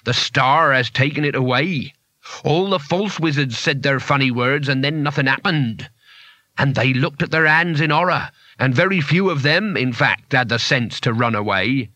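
Man acting a solemn, menacing zealot, bright-edged. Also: medium pitch, moderate pace, dry recording, lightly acted. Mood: grimly scornful. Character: solemn, menacing, grey-faced male zealot. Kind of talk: audiobook character voice.

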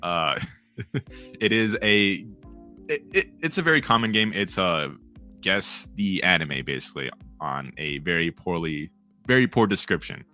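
A man co-hosting a casual podcast, with a very deep voice, a fairly monotone delivery and frequent laughter. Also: a little slow, soft background music. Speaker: podcast co-host. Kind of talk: casual chat.

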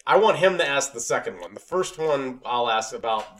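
A man with a medium-pitched voice talks as a podcast co-host. His delivery is casual and conversational, like an informal interview. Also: animated, normal speed, a bit bright. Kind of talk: casual chat.

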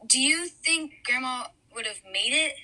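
A woman's voice: sits high, expressive, unhurried, pushed and bright, poor audio. A young woman hosting a podcast, earnest and sympathetic, a little hesitant as she interviews her guest. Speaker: podcast host. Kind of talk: casual interview.

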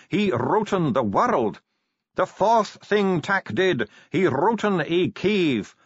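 A man voicing an earnest, scholarly dwarf translator in an audiobook, reciting ancient lore in slow, archaic, declamatory phrases. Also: sits high, slightly rough, no echo, highs cut off, pitched above the performer's own voice, full character voice.

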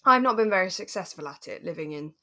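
A woman's voice: on the low side, animated, quick pace, sharp tone. British woman chatting as podcast co-host. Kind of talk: relaxed podcast chat.

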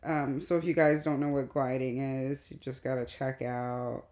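A woman chatting casually as a podcast guest, informal and halting as she searches for words. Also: a bass voice, flat delivery, darkish tone. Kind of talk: casual chat.

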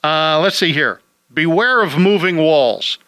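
A man's voice: a little high, lively intonation, measured pace, bright, forceful tone, clean tone, dry recording. A man hosting a home-improvement radio call-in show, chatting casually and cracking jokes. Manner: joking, wisecracking.

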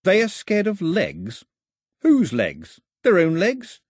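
Man voicing an inquisitive island boy, pitched high. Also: wildly swooping pitch, moderate pace, husky, dry recording, studio quality, full character voice. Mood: incredulous curiosity. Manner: questioning, puzzled, literal-minded. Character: inquisitive, sceptical island boy full of questions. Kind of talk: storybook character dialogue.

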